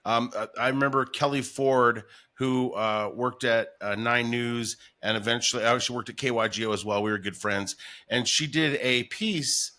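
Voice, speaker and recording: A man's voice: mid-range voice, steady tone, normal speed, bright, forceful tone. A man hosting a casual podcast chat, with the ease of a voiceover performer.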